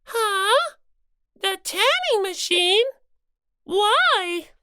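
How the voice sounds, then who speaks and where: An audiobook reader voicing a small, earnest, tearful female rabbit mascot, squeaky and childlike, with plaintive, indignant delivery. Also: very animated, extremely slow, sharp tone, no room sound, clean studio sound, heavily acted. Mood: puzzled. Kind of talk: character voice in fan audiobook.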